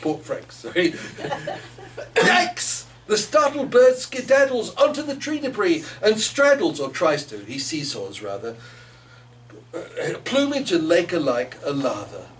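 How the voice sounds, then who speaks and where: A man's voice: sits high, very animated, slow, fairly bright tone. A man giving a live poetry reading in a theatrical, playful way, with chatty asides to listeners. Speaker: poet reading own work. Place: gallery reading in front of listeners. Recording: noisy room, distant microphone.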